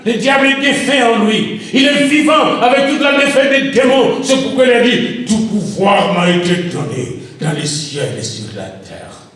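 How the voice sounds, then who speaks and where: High-pitched preacher in an echoey hall. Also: male voice, animated, a little slow, recorded from a distance. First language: an African language. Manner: preaching with rising shouted refrains. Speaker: pastor. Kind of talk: sermon. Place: church.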